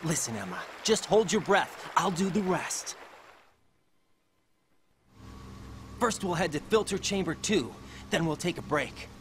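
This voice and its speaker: An actor playing an earnest young soldier in a video game, speaking in an urgent yet reassuring manner. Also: male voice, a little high, animated, normal speed, a bit bright, a little hiss or hum. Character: earnest young male soldier on a rescue mission. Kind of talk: dramatic game cutscene acting.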